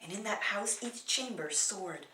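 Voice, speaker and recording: A woman's voice: medium pitch, animated, measured pace, a little hiss or hum. Echoey, theatrical older woman reciting poetry. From California, USA. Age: 60s. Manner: theatrical, swinging from emphatic bursts to hushed near-whispers. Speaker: poet reading own work. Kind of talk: dramatic poetry reading. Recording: roomy echo, distant microphone.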